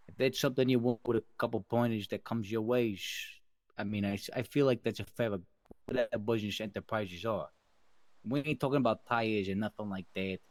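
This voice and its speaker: A man's voice: fairly low pitch, fairly quick, dry recording, natural voice. A man playing a firm mafia boss in an improvised online crime roleplay, swearing freely and talking at length to push for agreement. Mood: firm, negotiating. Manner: profane, long-winded, pushes for agreement. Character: mafia boss negotiating debts, firm and wordy.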